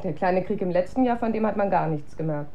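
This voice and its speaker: An actress playing an observant, opinionated wife in naturalistic radio drama, conversational and pointed. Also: female voice, on the low side, expressive, fast, muffled recording, slight background noise. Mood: sceptical, dismissive.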